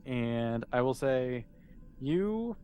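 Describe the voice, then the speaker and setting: A man's voice: medium pitch, very animated, extremely slow, warm tone, a little hiss or hum. A man casually narrating a tabletop game as game master, explaining in an easygoing, conversational way.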